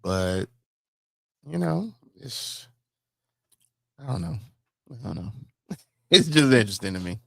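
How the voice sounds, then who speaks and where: A man's voice: lowish voice, very animated, slow, vocal fry, pauses often, bright, forceful tone, dry recording. An American man co-hosting a sports podcast, talking casually and emphatically about football with strong opinions, repeating phrases to drive them home.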